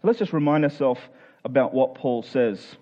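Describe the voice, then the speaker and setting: A man's voice: medium pitch, animated, moderate pace, dark tone, muffled recording. A man preaching a conversational Bible sermon in an earnest way, posing rhetorical questions and voicing imagined objectors. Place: speaking live to a congregation.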